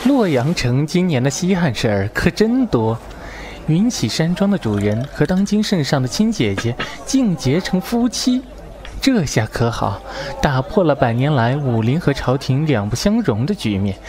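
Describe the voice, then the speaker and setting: A man playing a gossiping teahouse guest who chats in an animated way. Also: fairly high pitch, normal speed, background noise. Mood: gossipy. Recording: busy crowd around. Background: crowd chatter.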